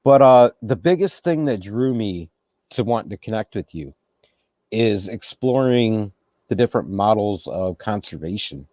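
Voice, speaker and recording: Relaxed male interview host, low-pitched, dry close-mic sound. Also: measured pace, no room sound. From United States. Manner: relaxed, laughs easily, reflective. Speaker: podcast host. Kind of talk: casual interview.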